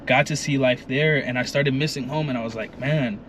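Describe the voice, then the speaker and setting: A man's voice: medium pitch, fairly even intonation, fairly quick, fairly bright tone, slight background noise. A young man, a guest chatting in a laid-back way and saying "you know" often. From Kenya, raised in Minnesota. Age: 20s. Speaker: guest, content creator. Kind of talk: casual interview chat.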